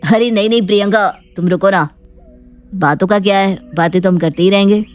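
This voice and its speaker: A woman's voice: medium pitch, fairly quick, soft background music, natural voice. A woman voicing an earnest man in a cartoon family drama. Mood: friendly.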